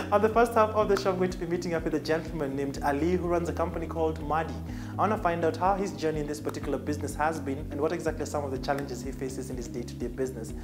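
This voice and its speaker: Fast-talking Kenyan male TV host. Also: a little high. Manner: chatty, laughs at his own quips. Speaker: show host. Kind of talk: TV business interview. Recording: echoey room with music behind.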